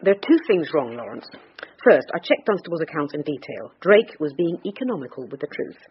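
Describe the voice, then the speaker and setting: An actress playing a sharp, persistent female police detective in a radio drama. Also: deep voice, very animated, a little fast. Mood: brisk, analytical. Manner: probing, clipped questioning. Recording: muffled radio drama, faint noise.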